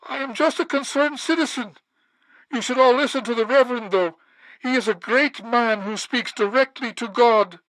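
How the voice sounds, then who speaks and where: Game radio reverend: boozy, grandiose, preachy, high-pitched and forceful. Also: male voice, moderate pace, no echo, rough recording. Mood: indignant. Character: boozy, pompous male island preacher. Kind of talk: satirical game radio acting.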